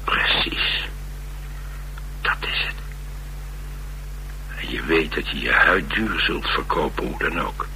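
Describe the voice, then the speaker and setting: A man's voice: a bass voice, slow pace, pauses often, pushed and bright, exaggerated voice, low-quality recording. A man with a Netherlands Dutch accent playing a blunt, world-weary chauffeur in a radio drama, confiding and sardonic. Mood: grim, intense. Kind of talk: radio drama acting, colloquial storytelling. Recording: radio drama with faint hum and noise.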